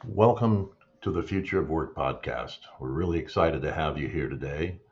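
A man's voice: rumbling low, normal speed, dull audio. A man hosting a podcast interview, asking long rambling questions with fillers. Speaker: podcast host.

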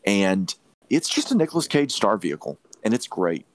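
Male podcast film reviewer, low voice, dry sound, emphatic and opinionated, repeats himself for stress. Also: big pitch swings, normal speed, dry recording. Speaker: podcast host reviewing films. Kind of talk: opinionated movie review chat.

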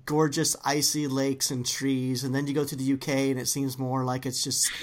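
Low-voiced woman interviewing, all excited, lots of ums. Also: normal speed. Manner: enthusiastic, rambling with fillers. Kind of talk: casual interview chat.

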